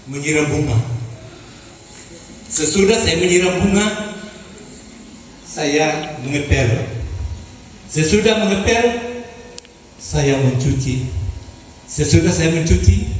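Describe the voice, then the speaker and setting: Older man, 70s, rousing ceremonial speaker, slightly high voice, distant echoey hall recording with room noise. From Southeast Sulawesi, Indonesia. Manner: rousing, repetitive oratory with rhetorical questions. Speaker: university foundation chairman. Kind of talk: ceremonial speech to new students. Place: large hall. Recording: echoey hall from far off, noisy.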